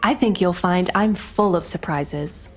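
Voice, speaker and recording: A woman's voice: lowish voice, unhurried, faint music behind, lightly acted. A woman acting as a sly, self-assured, flirtatious figure in a crime audio drama. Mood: sly, teasing.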